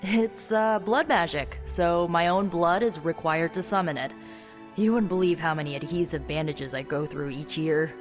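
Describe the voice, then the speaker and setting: A woman's voice: fairly low pitch, close to an everyday voice. Wry young heroine played by a woman, reverberant. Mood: friendly, wry. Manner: wry, hesitant, halting asides. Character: young woman blood-magic spellcaster, wry, guarded ex-runaway hero. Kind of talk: video game character dialogue, conversational. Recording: long reverb, music underneath.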